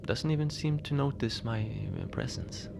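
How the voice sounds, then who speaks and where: A man playing a mild, lonely Antarctic station manager in a cozy horror audio drama, speaking in a hesitant, understated deadpan as he logs his shift. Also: fairly high pitch, normal speed, close to an everyday voice. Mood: musing, puzzled. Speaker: narrator of a fiction podcast. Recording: noisy ambient bed behind the voice.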